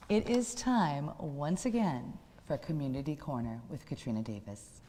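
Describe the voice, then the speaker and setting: Mellow woman host in an echoey hall. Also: low-pitched, very animated, normal speed. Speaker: show host. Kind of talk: hosting a live community variety show. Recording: echoey hall, noisy, clicks.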